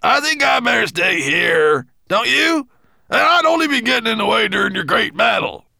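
A man's voice: deep voice, normal speed, fairly bright tone, no room sound, clean studio sound. A man voicing a small, put-upon dwarf servant who is sulky, nervous and grumbling. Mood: strained, pleading.

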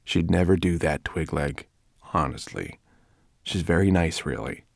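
Storybook lead: decent young boy, voiced by a man. Earnest, plain, protective, lively intonation, dry close sound. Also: deep voice, a little slow, creaky, no room sound, exaggerated voice. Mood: reassuring. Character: kind, sensible, teasing young human boy.

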